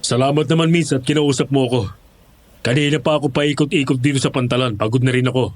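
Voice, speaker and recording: A man's voice: mid-range voice, steady tone, fast talker, natural voice. An actor playing a persuasive, glib male job recruiter with coaxing sales talk in a horror audio drama.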